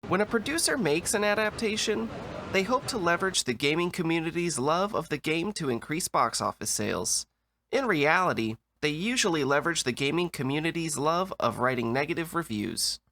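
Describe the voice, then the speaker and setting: A man delivering scripted comedic video essay narration in a deadpan, sarcastic way. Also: a little high.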